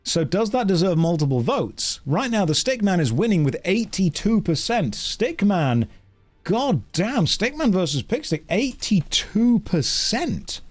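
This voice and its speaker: A man's voice: high voice, big pitch swings, unhurried, soft background music. A man with a British accent hosting a casual livestream, reading chat polls aloud in a playful, wry, jokey way. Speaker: streamer.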